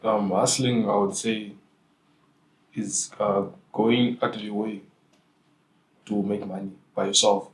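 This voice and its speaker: A young man from Africa, a guest in a casual interview, answering in a relaxed way. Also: on the low side, slow, long pauses. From South Africa. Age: young adult. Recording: echoey room.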